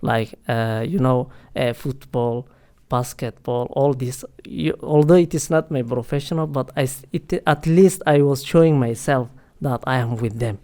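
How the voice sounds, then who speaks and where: A man's voice: medium pitch, moderate pace, a little mellow. Hesitant man full of "you know". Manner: hesitant, heavy use of "you know" and "uh" fillers. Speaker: guest, international student office staff. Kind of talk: casual podcast interview.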